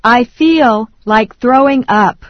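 Woman reading english drill phrases really slow, kinda high voice, dry muffled recording. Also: no room sound. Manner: slow, deliberate enunciation. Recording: dry, dull lo-fi studio read.

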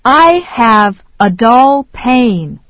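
A woman slowly reading English phrases one at a time in a language drill, with deliberate enunciation. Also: on the high side, very animated, no room sound. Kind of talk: slow repeat-after-me English drill. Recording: dry, dull lo-fi studio read.

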